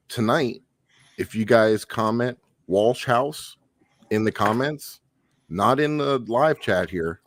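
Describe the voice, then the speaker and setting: A man's voice: fairly low pitch, slight background noise. A man co-hosting a live paranormal talk show from a home studio, upbeat and announcing a giveaway. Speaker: co-host. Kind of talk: casual live-stream chat. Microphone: headset.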